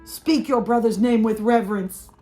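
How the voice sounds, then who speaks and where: A woman's voice: a little high, normal speed, dark tone, soft background music, natural voice. A woman voicing a stern, impatient mother who scolds her son.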